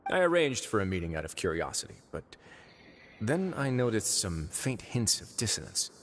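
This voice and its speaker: An actor playing a composed, calculating adult male prison warden in a video game, speaking calmly with quiet wryness. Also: on the low side, slight background noise. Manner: calm, measured, quietly wry. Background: faint game music.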